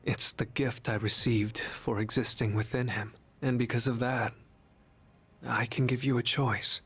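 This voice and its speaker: Breathy, sorrowful young harbinger of death. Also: male voice, mid-range voice, flat delivery, unhurried. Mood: resigned, gentle. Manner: soft, grave, resigned, gently pleading. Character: gentle, sorrowful young male harbinger of death in human form. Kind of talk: English video game voice acting.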